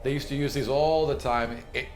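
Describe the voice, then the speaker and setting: A man's voice: medium pitch, fairly even intonation, brisk, darkish tone. A man improvising conversational narration as the game master of a tabletop role-playing podcast. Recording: roomy echo, music underneath. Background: background music.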